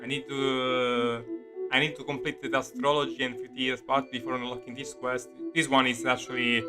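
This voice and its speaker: Halting Italian-accented man streaming over music. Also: mid-range voice, level tone. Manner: halting, rambling, self-correcting delivery. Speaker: game livestreamer. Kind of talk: casual gaming stream commentary. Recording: music playing behind the voice.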